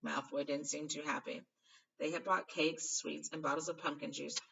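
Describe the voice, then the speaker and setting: A woman with a low, bright voice narrates a children's novel expressively. She now and then trips on a word and fixes it, and the recording sounds somewhat distant. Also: moderate pace, gravelly, highs cut off. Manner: expressive, stumbles over words and corrects herself. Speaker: storybook reader. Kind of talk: reading a children's novel aloud.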